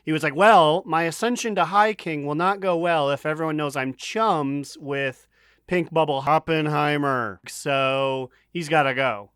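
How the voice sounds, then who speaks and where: Higher-voiced man riffing, close and dry. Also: unhurried, a bit bright, smooth, dry recording. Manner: joking, riffs in imagined quotes. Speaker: podcast co-host. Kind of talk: casual comic retelling.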